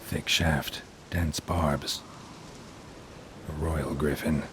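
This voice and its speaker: Very deep, flat monster hunter. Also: male voice, very slow, background noise, heavily acted. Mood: grim, observing. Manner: deadpan, terse, clipped sentences. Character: gruff, world-weary, terse monster hunter, a long-lived man. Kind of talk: video game voice acting.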